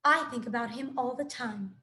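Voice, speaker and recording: A woman doing a calm, caring robot. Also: on the high side, a little hiss or hum. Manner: measured, plain, no contractions.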